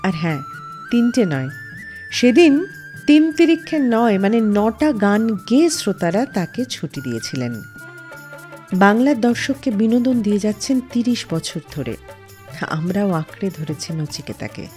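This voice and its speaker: A woman radio host, telling scripted stories in a dramatic, emphatic way with pauses for effect. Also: medium pitch, very animated, a little mellow. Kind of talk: scripted radio storytelling narration. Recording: faint music behind the voice. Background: faint background music.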